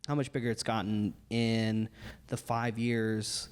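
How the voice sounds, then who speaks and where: A man talking informally as a panelist and voice actor, with measured, stop-and-start phrasing. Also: on the low side, flat delivery, clean tone. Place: convention panel room.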